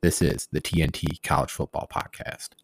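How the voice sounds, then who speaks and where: A man's voice: very deep voice, fairly even intonation, normal speed, vocal fry, slightly rough, dry recording. A man reading the scripted intro to his own podcast as its host. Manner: reads a prepared promo.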